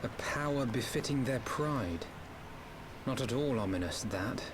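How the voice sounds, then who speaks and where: A man's voice: medium pitch, expressive, unhurried, noisy background, close to an everyday voice. An actor playing a wry, skeptical young man in a game cutscene, with a dry, sardonic manner.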